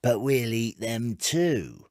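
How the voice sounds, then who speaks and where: An actor voicing a menacing, gleefully destructive talking rat in a storybook reading, with a taunting, deliberate delivery. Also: male voice, medium pitch, very animated, a little mellow, no room sound, studio quality.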